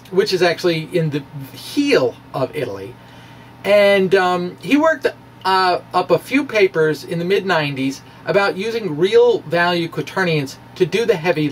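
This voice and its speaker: Higher-pitched man, halting, recorded at a distance. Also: a little hiss or hum. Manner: halting, self-deprecating, conversational. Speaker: amateur physics video blogger. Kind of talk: informal explanatory monologue on physics.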